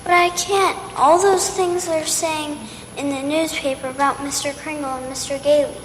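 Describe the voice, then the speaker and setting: A woman's voice: high-pitched, steady tone, normal speed, a little hiss or hum, lightly acted. A female performer playing an earnest, tender-hearted little girl in an old-time radio play, in an echoey hall. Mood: earnest, caring.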